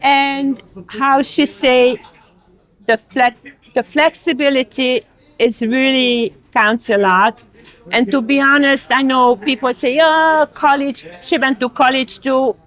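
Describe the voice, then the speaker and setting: A woman in her sixties from Hungary, speaking English with a Hungarian accent as a diner employee, frank and unhurried. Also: sits high, steady tone, fairly bright tone, poor audio. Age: 60s. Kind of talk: casual interview.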